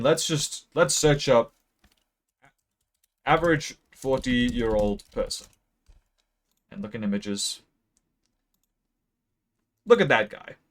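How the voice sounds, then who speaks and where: A young man in his late teens gives a deadpan mock lecture about a graph. He rambles with many ums and speaks close to a mic that sometimes peaks. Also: mid-range voice. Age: young adult. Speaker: video creator. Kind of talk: mock-serious graph explainer.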